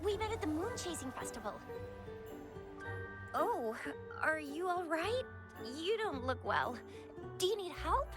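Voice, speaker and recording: A woman's voice: very high voice, big pitch swings, a little slow, pauses often, exaggerated voice. A woman voicing a bubbly, friendly young patrol officer in a video game, eager and chirpy. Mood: cheerful, eager. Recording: loud music behind the voice.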